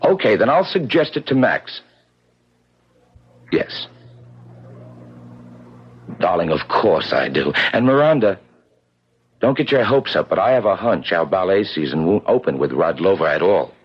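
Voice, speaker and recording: A man's voice: low voice, big pitch swings, a little slow, long pauses, husky, heavily acted. An actor playing a watchful, persuasive publicity man in a 1970s radio drama, with a businesslike way of talking. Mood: confiding, coaxing. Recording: muffled, vintage 1970s radio broadcast.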